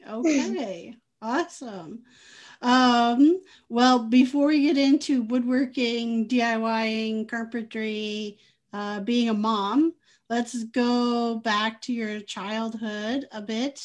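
Host: woman, slightly higher voice, unhurried chatty questions, laughs easily. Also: steady tone. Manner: chatty, easy laughter, uses "like" a lot. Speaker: podcast host. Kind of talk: casual interview.

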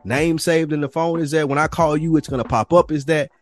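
A man's voice: on the high side, steady tone, fairly quick, faint music behind. A man hosting a podcast in casual, emphatic conversation, telling stories with frequent swearing. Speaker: podcast host. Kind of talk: casual chat.

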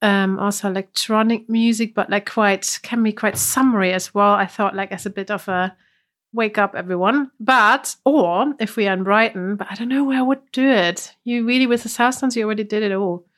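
A woman's voice: medium pitch, normal speed, a bit bright. A woman co-hosting a podcast, sniffly with a cold, chatting casually and laughing often. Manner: chatty, laughs often, trails off mid-sentence. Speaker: podcast co-host. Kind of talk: casual chat.

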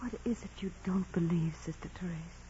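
An actress playing a humble, devout young peasant woman in 1940s radio drama style, earnest, deferential and soft-spoken. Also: fairly low pitch, brisk, breathy edge. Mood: meek, earnest. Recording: dull, hazy vintage radio recording.

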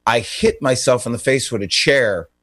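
A man co-hosting a radio show, telling comic stories in a casual, halting, self-interrupting way. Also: mid-range voice, fairly bright tone.

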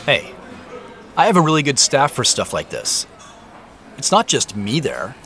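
A man's voice: mid-range voice, huge pitch swings, a little slow, sharp tone, full character voice. A man acting in a naturalistic audio drama as a cautious, uneasy professional with a hesitant, questioning manner. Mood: wary, defensive.

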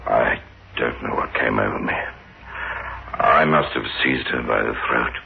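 Gravel-deep haunted killer. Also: male voice, a little slow, heavily acted. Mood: haunted, confessional. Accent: South African. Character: murderous haunted man confessing, menacing and obsessive. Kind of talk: 1960s radio horror acting. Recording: dull, noisy old radio recording.

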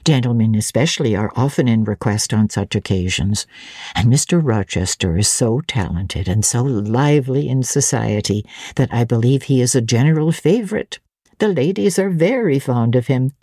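A kindly old housekeeper, acted out by a woman narrator in a classic storybook reading. The voice sits very low and mellow, with a gentle, motherly, gossipy way of talking that never hurries. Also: slightly rough, no echo, clean studio sound, exaggerated voice. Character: kindly, chatty elderly English housekeeper, genteel and motherly. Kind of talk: dramatic audiobook character reading.

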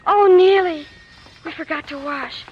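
A woman's voice: very high-pitched, unhurried, muffled recording. An actress playing an earnest young girl, a loving daughter and protective big sister, in a 1940s radio drama with plain, working-class childlike talk. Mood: bossy, reassuring. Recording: faintly noisy 1940s radio broadcast.

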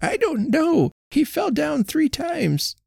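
Here's a frail old farm wife, pitched way up high above his own voice, fluttery and pleading. She sounds frightened and small, begging over and over in a storybook reading. The recording is dry and close, with no room sound. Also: sing-song, takes it slowly, vocal fry, warm tone, no echo, exaggerated voice. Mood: anxious pleading. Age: older.

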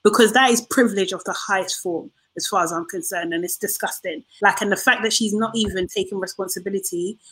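A woman's voice: mid-range voice, fairly even intonation, a little fast, hardly any pauses, a bit bright, slightly rough, low-quality recording. A woman co-hosting a casual panel chat, talking in a heated, emphatic rant.

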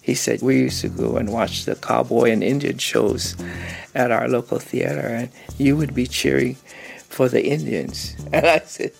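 An older man in his seventies from Hawaii talks in an interview. His voice is heavily gravelly, with a creaky rasp under mid-range pitch. He sounds reflective and laughs easily, with faint music in the background. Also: fairly even intonation, normal speed. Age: 70s. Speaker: interviewee, language teacher. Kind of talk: casual interview.

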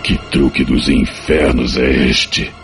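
A man playing a cruel, sneering angel villain in a fantasy audiobook, menacing, ironic and unhurried. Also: fairly even intonation, full character voice. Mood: pained fury. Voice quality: very deep, gravelly. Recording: loud music behind. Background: music.

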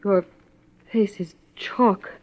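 A woman's voice: mid-range voice, steady tone, extremely slow, darkish tone. An actress playing an anxious, guarded married woman in a 1950s radio detective drama, speaking formally and dramatically. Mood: startled, fearful. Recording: muffled old radio recording.

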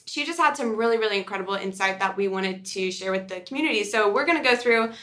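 Woman, bright forceful voice, medium pitch, chatty upbeat podcast co-host, casual delivery. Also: fast.

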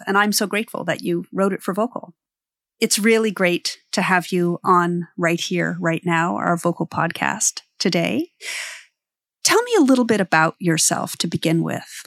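A woman with a fairly low voice hosts a podcast interview. She speaks in prepared, conversational phrases, recorded dry without room sound. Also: moderate pace, no echo.

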